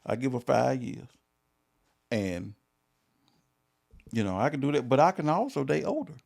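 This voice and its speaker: A pastor in his fifties co-hosting a casual talk show, emphatic and quick to repeat himself. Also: medium pitch, lively intonation, creaky, a little mellow. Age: 50s. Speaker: pastor and podcast co-host. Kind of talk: casual chat.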